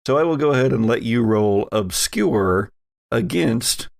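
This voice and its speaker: A man narrating a tabletop roleplaying game as game master, speaking in a halting, hesitant way. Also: medium pitch, expressive, gravelly, no room sound, clean studio sound.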